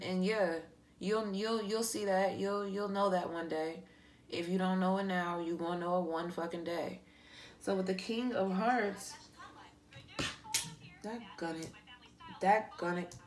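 A woman giving a casual, improvised card reading to an online audience, talking in an animated, slangy way and swearing freely. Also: on the low side. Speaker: tarot card reader, online host. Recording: music playing behind the voice. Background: pop and R&B songs playing.

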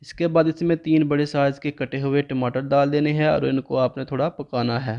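A man's voice: mid-range voice, fairly quick, highs cut off. A man, narrating a home cooking recipe step by step as the channel host. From Pakistan. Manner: steady step-by-step instructional tone.

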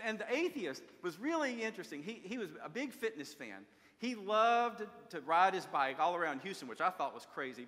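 An older man preaches from the pulpit of a large church sanctuary, his voice sitting a bit high and ringing bright and forceful. He tells stories earnestly and leans on rhetorical questions. The sound carries a long reverb from the hall. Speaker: pastor. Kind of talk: sermon. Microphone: pulpit microphone, far camera.

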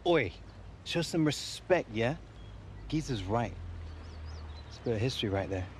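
An actor playing a laconic, protective young English footballer in a video game cutscene. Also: male voice, mid-range voice, expressive, measured pace, a little hiss or hum, close to an everyday voice. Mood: defensive. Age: young adult.

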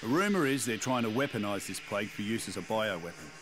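An actor voicing an earnest, duty-bound army colonel in a video game, measured and insistent. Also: male voice, lowish voice, expressive, over music, close to an everyday voice. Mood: earnest, pleading. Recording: outdoor insects and background noise.

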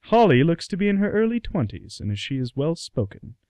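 A man narrates a radio play from a script. His medium-pitched voice moves around a great deal, and the sound is close and dry. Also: sing-song, a little fast, mellow, no room sound.

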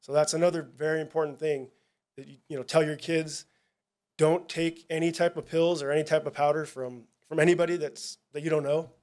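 A man with a slightly high voice speaks plainly to a town hall audience as a narcotics officer. He talks in a casual, halting way, stopping and restarting his sentences often. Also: little pitch movement, darkish tone. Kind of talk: presentation at a public town hall.

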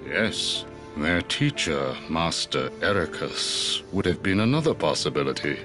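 An actor playing a grave, commanding old wizard master in a video game, speaking formally and with measured solemnity. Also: male voice, extremely low pitch, big pitch swings, music in the background, heavily acted. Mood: grave, instructive. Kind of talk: video game cutscene voice acting.

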